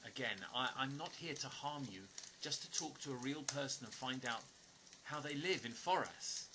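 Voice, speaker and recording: A man playing an earnest stranger pleading for trust in an audio drama, speaking haltingly and repeating words. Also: mid-range voice, background noise, natural voice. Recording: music under the voice. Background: music.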